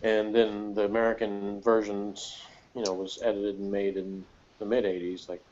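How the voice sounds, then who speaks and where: A man's voice: lowish voice, little pitch movement, moderate pace, a little mellow, slight background noise. A man co-hosting a casual TV review chat in a joking, wry way, on a muffled recording.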